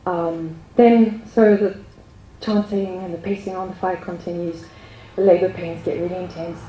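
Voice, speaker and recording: A woman with a low, mellow voice shares a heartfelt spiritual testimony, pausing often and filling the gaps with ums. The room is echoey, with a faint hum behind her. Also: steady tone, highs cut off. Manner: earnest, hesitant, many ums. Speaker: group speaker sharing testimony.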